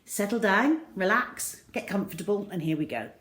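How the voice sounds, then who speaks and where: A woman reading to children like a primary teacher, expressive, with deliberate emphasis. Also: mid-range voice, reverberant. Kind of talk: children's storytelling, expressive narration.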